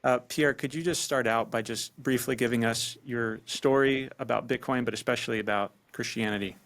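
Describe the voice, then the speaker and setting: A man hosting a podcast, briskly introducing his guest and asking a direct question. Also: medium pitch, fairly even intonation, a bit bright. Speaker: podcast host. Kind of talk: show introduction.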